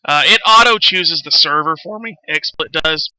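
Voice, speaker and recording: A man's voice: a little high, a little slow, sharp tone, clean tone, no echo, rough recording. A man chatting casually as he hosts a game livestream, rambling along in a self-deprecating, sweary way. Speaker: game streamer, host. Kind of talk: casual livestream chat while playing a game.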